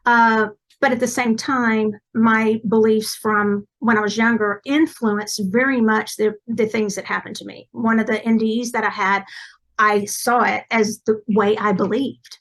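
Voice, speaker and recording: Guest: chatty East Texas woman, somewhat high voice, rambling, self-correcting talk. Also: fairly even intonation, measured pace, a bit bright, low-quality recording. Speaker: podcast guest, psychic medium. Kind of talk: casual interview chat.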